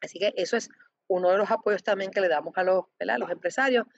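A woman representing a foundation, answering interview questions with many pauses, fillers and restarts. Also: mid-range voice, vocal fry, no echo. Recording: dull lo-fi dry sound.

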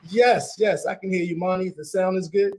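A middle-aged man hosting a casual talk radio show, warm and laughing easily, often repeating his words. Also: high-pitched, fairly even intonation, normal speed, slight background noise.

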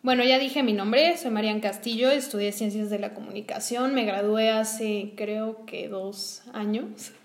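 Young mexican woman, podcast co-host, higher voice, roomy echo, chatty and laughing. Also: steady tone, a little slow, hardly any pauses. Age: 20s. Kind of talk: casual chat.

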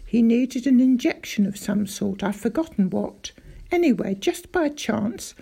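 A woman's voice: on the high side, creaky, husky, heavily acted. Woman doing a fussy little old country doctor. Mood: indignant. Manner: excitable, grave then outraged. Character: small elderly country doctor, fussy and indignant.

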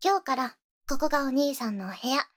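A woman's voice: high voice, lively intonation, a little fast. A woman playing a possessive, sweetly menacing young rich girl in a Japanese ASMR voice drama, with cutesy, coaxing phrasing and whispers. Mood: sweetly gloating.

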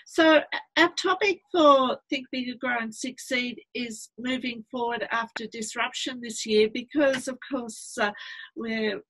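A high-pitched woman hosts an interview. Her voice is breathy. She sounds upbeat as she introduces her guest and hesitates with fillers in her questions. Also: measured pace, a bit bright, low-quality recording. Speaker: interview host. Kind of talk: hosted interview.

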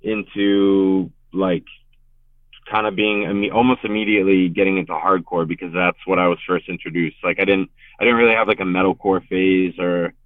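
Casual young male guest with a low voice, rambling and filler-heavy, heard over a thin phone-like call. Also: level tone, normal speed, no echo. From Richmond, Virginia. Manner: laid-back, rambling, heavy on filler words. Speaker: guest musician. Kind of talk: casual podcast interview. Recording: tinny, phone-like remote call.

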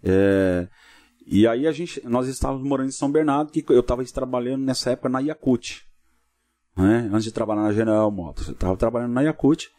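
Fast-talking middle-aged Brazilian man, mellow voice. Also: medium pitch. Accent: Brazilian, São Paulo state. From Cubatão, São Paulo coast, Brazil. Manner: peppers talk with tag questions. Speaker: pastor, podcast guest. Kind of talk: informal interview storytelling.

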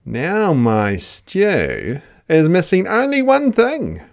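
Storyteller putting on a high, excitable dingo cook. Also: slow pace, gravelly, heavily acted. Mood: eager, gleeful. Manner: excitable, singsong, exclaiming. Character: boastful, gleeful male dingo cook.

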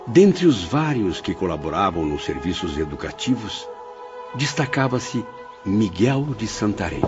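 Reflective male spirit memoirist: very low, solemn radio play narration over prominent music. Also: very animated, unhurried, highs cut off. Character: male spirit soberly recounting his memoirs of the afterlife. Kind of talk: scripted radio drama narration.

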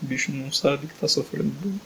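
A man's voice: on the high side, a little fast, gravelly, pushed and bright, slight background noise, poor audio. Tired, exasperated young man podcasting. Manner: exasperated, joking, complaining. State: tired late at night. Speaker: podcast host. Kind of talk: casual podcast chat, reading a fanfic aloud.

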